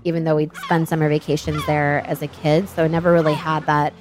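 A woman in her thirties speaks with an American accent in a low, unhurried voice. She tells her own story in a measured, candid and reflective way. Soft music plays faintly behind her. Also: level tone. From born in Dubai, raised in Bangladesh and Pakistan. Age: 30s. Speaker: venture capital founder, guest.

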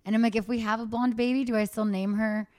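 A woman's voice: on the high side, fairly even intonation, quick pace, darkish tone, no echo, studio quality. A pregnant woman hosting a podcast, chatting candidly as she answers fan questions. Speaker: podcast host. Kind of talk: casual chat.

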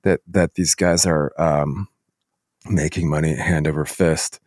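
A man hosting a casual interview, speaking in a halting, appreciative way to his guest. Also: extremely low pitch, steady tone, darkish tone, no room sound, studio quality. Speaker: podcast host.

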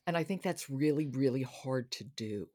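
Woman, podcast host, very low voice, brisk, asks questions. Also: lively intonation, dark tone. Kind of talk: casual chat.

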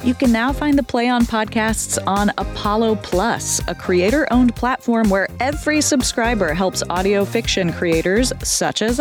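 Woman reading a promo over music. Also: medium pitch. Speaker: podcast announcer. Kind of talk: scripted promotional read.

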